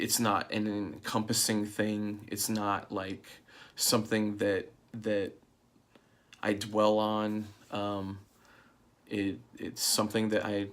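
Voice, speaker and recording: Gravelly halting man in his forties. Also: on the low side, fairly bright tone. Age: 40s. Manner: halting, many fillers and restarts. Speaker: vlogger. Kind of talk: casual reflective monologue.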